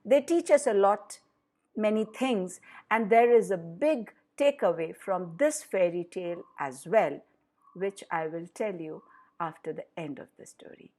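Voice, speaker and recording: A woman narrating a fairy tale for children with deliberate, dramatic emphasis. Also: mid-range voice, a little mellow. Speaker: storyteller for children.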